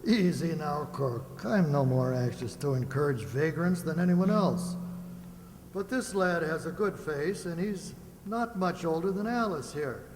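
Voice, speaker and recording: A man playing a kindly, authoritative sea captain in an amateur radio play, calm and reassuring. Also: on the high side. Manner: reassuring, measured. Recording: echoey hall, long reverb, background noise.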